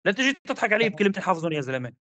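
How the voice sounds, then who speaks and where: A man's voice: sits high, sing-song, pushed and bright, dry recording. A man arguing hard in a religious debate, insistent and repetitive. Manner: rapid, insistent, argumentative, repeats demands.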